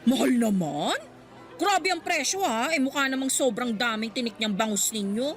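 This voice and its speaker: An actress playing a snobbish middle-aged neighbour in a Tagalog radio drama, scornful and nitpicking. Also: female voice, a little high, animated, normal speed, soft background music, natural voice. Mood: disdainful. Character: snobbish, haggling, insulting female neighbour.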